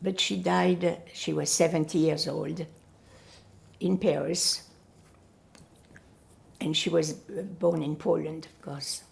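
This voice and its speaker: An older woman whose first language is Yiddish, recalling her family in an oral history interview, pausing often to search for words. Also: deep voice, fairly even intonation, creaky. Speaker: Holocaust survivor giving testimony.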